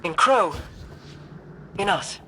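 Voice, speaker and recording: A woman playing a regal, enigmatic immortal queen in a video game, speaking in a measured, formal, aloof way. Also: mid-range voice, expressive, heavy background noise. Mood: composed, pensive.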